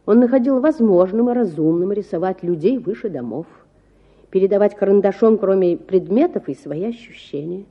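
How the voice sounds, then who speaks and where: Woman, audiobook narrator, expressive scripted storytelling, medium pitch, slightly muffled sound. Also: normal speed.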